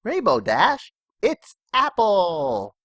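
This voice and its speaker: A woman playing a simple, excitable young male cartoon pony who talks in short, halting childlike phrases. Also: mid-range voice, heavily acted. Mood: excited. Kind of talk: audio drama fan reading.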